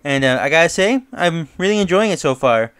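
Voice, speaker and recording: A man's voice: on the high side, lively intonation, brisk, a bit bright, smooth. A man hosting his own channel, casually recapping and reviewing a horror web series, talking loosely with fillers and self-corrections.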